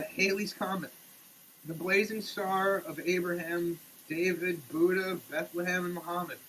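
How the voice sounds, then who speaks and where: A man giving a theatrical, declamatory dramatic reading, swinging between hushed delivery and shouting, as a comic performance. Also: fairly high pitch, a little hiss or hum, poor audio. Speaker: sketch comedy performer. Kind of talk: dramatic reading of a product label. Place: shower.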